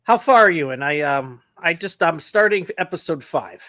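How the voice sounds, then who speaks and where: Man, podcast co-host, chatty, slightly higher voice, dry close sound. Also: lively intonation, normal speed, pushed and bright, no room sound. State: sniffly from allergies. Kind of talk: casual podcast chat.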